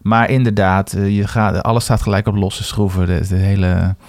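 A man co-hosting a podcast in casual chat, speaking hesitantly and self-deprecatingly with halting phrasing. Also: on the low side, little pitch movement, a little mellow, no room sound, studio quality. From Netherlands. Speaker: podcast co-host.

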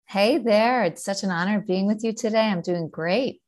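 A woman's voice: medium pitch, normal speed, a little mellow. A woman in her forties with a New York accent, a guest explaining her work with confident, list-like answers in a podcast interview. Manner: confident, explanatory, speaks in long lists. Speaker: guest, educator and author.

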